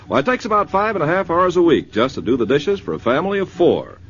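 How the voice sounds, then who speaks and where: Persuasive 1950s radio announcer. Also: male voice, very animated, fast, fairly bright tone, slight background noise. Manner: persuasive pitchman. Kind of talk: radio commercial. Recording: dull old radio broadcast.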